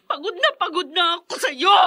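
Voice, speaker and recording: A woman's voice: very high voice, animated, normal speed, pushed and bright, dry recording, studio quality, full character voice. A woman playing a fierce, fed-up wife and mother in a Filipino radio melodrama, with a Filipino accent. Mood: bitter, desperate.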